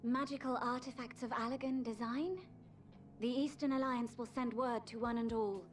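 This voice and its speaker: An actress as a distant, high, stately envoy. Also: female voice, steady tone, normal speed, faint music behind, lightly acted. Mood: gracious. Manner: formal, stately. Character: dignified, gracious female envoy. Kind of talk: video game cutscene acting.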